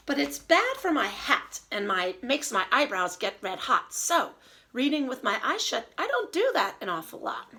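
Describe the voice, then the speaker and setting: A woman teacher reading aloud and giving a lesson to young children in an expressive, child-directed way. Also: sits high, normal speed, slight background noise. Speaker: early elementary teacher.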